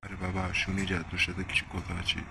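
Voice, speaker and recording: A man's voice: sits low, completely flat delivery, quick pace. A man voicing a sly, grumbling old rascal uncle who wheedles and justifies himself in a comedy cartoon. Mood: self-pitying, innocent. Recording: clearly noisy background.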